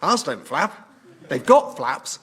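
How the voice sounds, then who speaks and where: Eager high-voiced dim English lad. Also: male voice, sing-song, slow, fairly bright tone, husky, heavily acted. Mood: naively puzzled. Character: eager, dim, cheerful young man, childlike. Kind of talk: radio sitcom acting.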